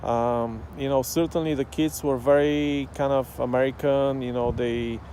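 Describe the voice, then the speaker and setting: A man from Bulgaria whose first language is Bulgarian, talking casually and thoughtfully with many pauses and fillers. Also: mid-range voice, fairly even intonation, warm tone, slight background noise. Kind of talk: casual talk to camera.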